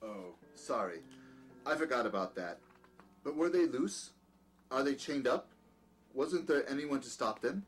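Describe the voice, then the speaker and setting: A man's voice: mid-range voice, lively intonation, unhurried, pauses often, breathy edge. Peculiar doctor male actor, audio drama. Outwardly gracious, secretly sinister, domineering, very varied intonation, faint music behind. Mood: apologetic, attentive. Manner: courteous, old-fashioned, commanding when crossed. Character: peculiar, scheming doctor, outwardly courteous, domineering to his servant.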